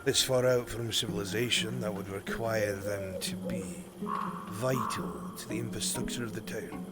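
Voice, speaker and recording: A man playing a calm, stern traveling stranger with measured, archaic speech in an improvised roleplay. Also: lowish voice, natural voice. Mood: deliberating. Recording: loud music over the voice.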